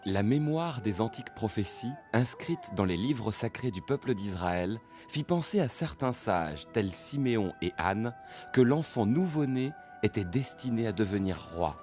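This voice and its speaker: A man giving formal, measured scripted narration for an animated Bible story. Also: lowish voice, faint music behind.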